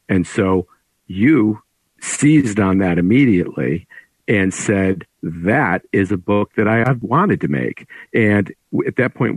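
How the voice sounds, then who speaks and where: A middle-aged man, an author guest, explaining his work in a deliberate, conversational storytelling way. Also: sits low, breathy edge, no room sound, rough recording.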